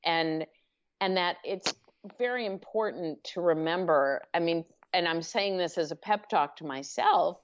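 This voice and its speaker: Woman guest author, unhurried and low-voiced, thinking aloud in a muffled lo-fi recording. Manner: thoughtful, circling with restarts and fillers. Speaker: author being interviewed. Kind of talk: reflective interview answers. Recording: dull lo-fi audio.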